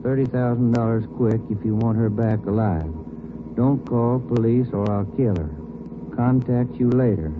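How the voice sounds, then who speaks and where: A man's voice: mid-range voice, normal speed, natural voice. A male actor playing an inquisitive, plain-spoken American police partner in a conversational 1940s radio drama style. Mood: inquisitive, concerned. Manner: conversational, questioning. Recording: noisy, tinny 1940s radio broadcast.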